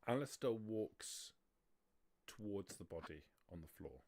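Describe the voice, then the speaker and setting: A man's voice: lowish voice, lively intonation, unhurried, creaky, a little mellow. A man narrating and explaining rules as game master of a tabletop roleplaying game, often restarting his sentences.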